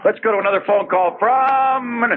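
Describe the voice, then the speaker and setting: A man's voice: high voice, moderate pace, phone-quality sound, a little hiss or hum. A man hosting a live call-in radio show with brash, joking, boastful banter. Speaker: radio talk show host. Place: public venue hosting a live radio broadcast. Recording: band-limited old 1980s radio tape.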